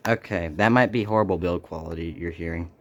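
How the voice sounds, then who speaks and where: A man hosting a casual, unscripted unboxing video, speaking haltingly and muttering to himself as he goes. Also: deep voice. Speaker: video host doing an unboxing.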